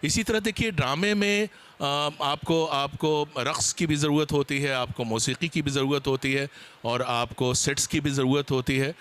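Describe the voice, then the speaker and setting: A man speaking as a panelist at a seminar, explaining his points in a deliberate, hesitant way. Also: fairly high pitch, steady tone, fairly bright tone, clean tone.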